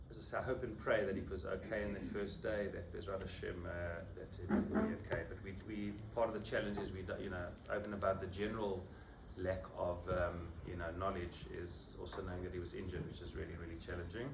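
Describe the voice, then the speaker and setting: A man in his fifties with a South African accent, a rabbi giving an informal talk to a small group, low on energy and halting, with many fillers and restarts. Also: flat delivery, vocal fry. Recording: roomy, distant mic, background noise.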